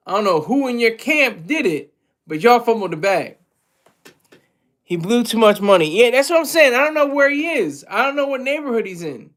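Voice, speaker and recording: Streamer, male, high-pitched, rambly casual chat with viewers, lots of restarts. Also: fairly bright tone. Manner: loose, rambling, with frequent restarts and chuckles. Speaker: livestream host reading chat.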